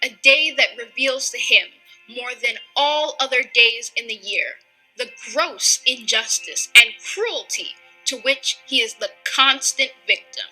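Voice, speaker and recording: A woman delivers solemn oratory in a very bright, fairly high voice. She is deliberate and emphatic, weighing each phrase over faint music. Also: low-quality recording. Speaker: reader of a historic speech.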